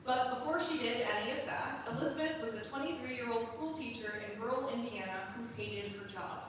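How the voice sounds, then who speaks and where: A young adult woman giving a prepared, measured introduction as a student composer presenting her staged reading. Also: a little high. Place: large performance hall. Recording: very echoey hall, distant mic, faint noise.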